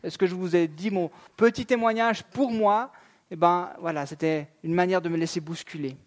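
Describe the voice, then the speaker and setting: A high-voiced man in his thirties delivers a church sermon. He keeps it conversational, mixing fillers and relaxed asides into his preaching. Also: moderate pace. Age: 30s. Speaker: pastor. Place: church sanctuary.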